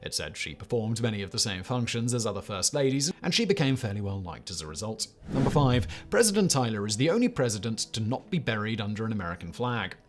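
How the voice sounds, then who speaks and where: A man's voice: fairly low pitch, big pitch swings, quick pace, hardly any pauses. A man narrating a scripted countdown list, with emphatic delivery and punchy rhetorical asides.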